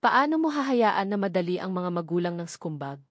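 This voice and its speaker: A woman's voice: fairly low pitch, very animated, a little fast, no echo, clean studio sound. A woman voicing a proud, indignant female martial general in a novel narration. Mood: accusing.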